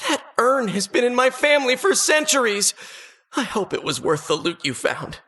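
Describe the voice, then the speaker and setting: An actress playing a gleefully sinister, disembodied dungeon host in a video game, mock-cheerful, teasing and theatrical. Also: female voice, on the high side, sing-song, normal speed, a bit bright. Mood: playful, taunting. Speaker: game announcer.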